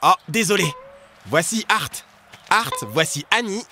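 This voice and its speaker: High, bright, eager cartoon boy. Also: very animated, unhurried, full character voice. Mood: earnest. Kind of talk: French cartoon dub for small children. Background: music.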